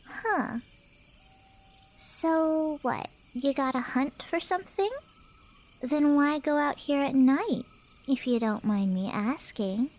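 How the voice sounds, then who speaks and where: Girl voice actor doing a playful cheshire cat girl, slow asmr roleplay, high voice, teasing and slangy. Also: darkish tone. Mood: prying, teasing.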